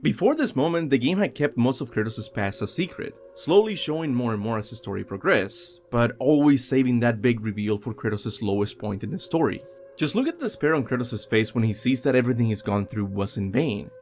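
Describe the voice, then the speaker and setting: A man's voice: medium pitch, lively intonation, a little fast, a little hiss or hum. A man presenting scripted video game commentary, speaking enthusiastically and emphatically straight to his viewers. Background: music.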